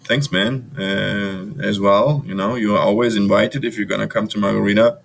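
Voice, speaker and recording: A man's voice: on the low side, fairly even intonation, moderate pace, gravelly, slight background noise. A man from Germany with a German accent touched by Venezuelan Spanish, talking bluntly and animatedly as a podcast guest, swearing freely. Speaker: podcast guest, bar owner. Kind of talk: casual interview chat.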